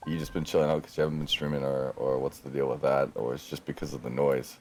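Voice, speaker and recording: A man's voice: extremely low pitch, little pitch movement, fast. A man joking around in casual voice chat, sometimes drawling out his phrases. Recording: clear background noise, clicks.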